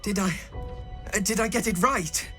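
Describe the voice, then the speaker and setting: A man's voice: high voice, moderate pace, pushed and bright, faint music behind. An actor playing an earnest, nervous young male recruit in a video game, hesitant and deferential. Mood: unsure. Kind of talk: dramatic video game acting.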